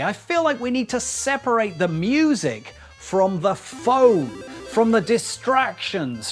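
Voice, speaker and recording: Wry, animated man with a high-pitched voice. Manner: wry, self-deprecating, chatty. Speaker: YouTube host. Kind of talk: scripted vlog narration with wry asides.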